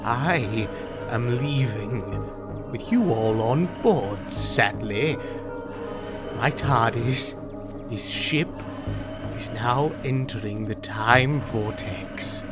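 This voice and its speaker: An actor playing a calm, condescending alien villain in an audio drama, with mock politeness and deliberate delivery. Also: male voice, medium pitch, over loud music. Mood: smug, controlled.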